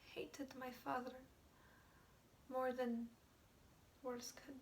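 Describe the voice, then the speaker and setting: High female voice, actress playing guilt-ridden Polish woman, Polish accent, halting film-drama confession, whispery, fragile, distant mic with faint noise. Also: little pitch movement. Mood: bitter, confessional. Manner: halting, stammering, breathy whispers. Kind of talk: dramatic film monologue, amateur.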